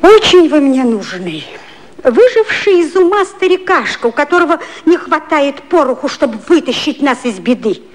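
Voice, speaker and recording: An actress playing a fiery, sharp-tongued, scolding aide to a prime minister in a 1950s satirical radio play. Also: female voice, high voice, sing-song, moderate pace. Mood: grudging, threatening. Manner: sharp-tongued, indignant, theatrical. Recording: noisy old radio play recording.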